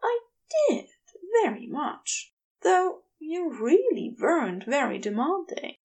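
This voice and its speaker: A woman voicing a prim, polite, flustered male angel in an audiobook, with breathy, halting speech. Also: sits high, big pitch swings, darkish tone, pitched above the performer's own voice, exaggerated voice, low-quality recording. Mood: shy, pleased.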